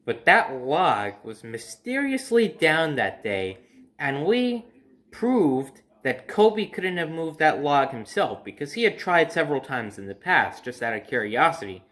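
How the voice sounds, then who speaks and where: A man's voice: on the high side, big pitch swings, measured pace, a bit bright. A young adult man casually telling a personal story to camera in a conversational, emphatic way. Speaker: storyteller and host. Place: indoor room by a window at home.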